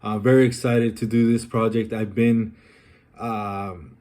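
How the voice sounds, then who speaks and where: A young man from Colombia talking through a personal, reflective vlog, pausing often with ums and uhs. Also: fairly low pitch, flat delivery, dark tone. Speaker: vlogger.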